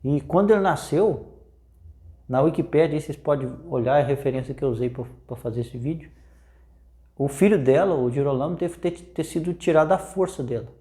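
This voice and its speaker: A man's voice: a little high, brisk, mellow. A man with a Brazilian accent presenting history in informal, unscripted storytelling, conversational and often checking in with tag questions.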